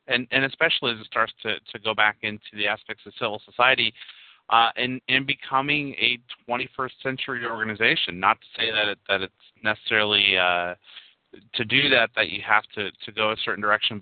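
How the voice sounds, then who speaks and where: Male host, halting and rambling, middle-pitched, phone-line audio with no room. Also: dry recording. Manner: halting, repeats words, rambling questions. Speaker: show host. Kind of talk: online radio interview. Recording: tinny phone line, dry.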